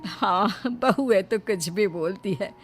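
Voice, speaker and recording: A woman's voice: medium pitch, slow, faint music behind, close to an everyday voice. A woman playing a bossy, sarcastic middle-aged Indian mother-in-law in a cartoon, scolding theatrically. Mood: mock sweet. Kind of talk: Hindi cartoon story dubbing.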